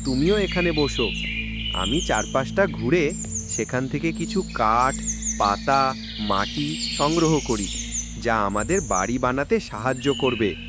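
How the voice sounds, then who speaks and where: A man's voice: mid-range voice, lively intonation, unhurried, over music, natural voice. A man voicing a brave, devoted young village boy in a cartoon, earnest and determined. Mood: caring. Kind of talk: cartoon voice acting.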